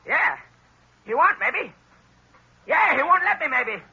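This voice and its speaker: An actor playing a dim-witted hillbilly hunchback who keeps hunting dogs, drawling in backwoods grammar, in 1940s radio acting on a lo-fi old broadcast. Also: male voice, very high-pitched, sing-song, slow pace, creaky, sharp tone, heavily acted. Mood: slow-witted. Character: simple-minded hillbilly hunchback man with dogs.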